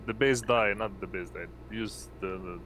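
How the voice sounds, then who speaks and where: A man running an online tabletop game as game master, speaking haltingly and hesitantly. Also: on the low side, a bit bright, a little hiss or hum, low-quality recording. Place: indoors at home, online voice call.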